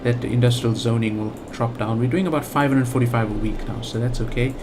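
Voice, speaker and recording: A man's voice: mid-range voice, fairly even intonation, fast talker, noisy background. A man with a South African accent giving casual let's play commentary, thinking aloud with lots of fillers and restarts. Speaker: gaming channel host.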